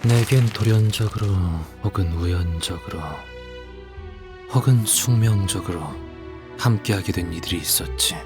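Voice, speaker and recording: An actor playing a solemn, melancholy poet in a Korean game, with grave, deliberate, archaic speech. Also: male voice, on the low side, natural voice. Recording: music behind the voice.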